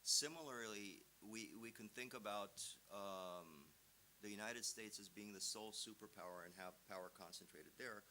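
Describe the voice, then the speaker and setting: Man from Romania, lowish pitch, forceful bright voice, academic explaining, emphatic, conversational. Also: moderate pace, low-quality recording. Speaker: visiting professor, guest lecturer. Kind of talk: academic seminar answer with examples. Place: seminar room. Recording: clear background hiss.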